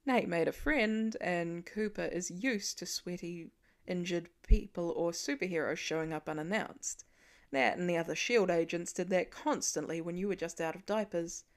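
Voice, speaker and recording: A woman voicing a warm, wry, reassuring father in a storybook reading, talking gently. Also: fairly low pitch, moderate pace, voice pushed down, natural voice.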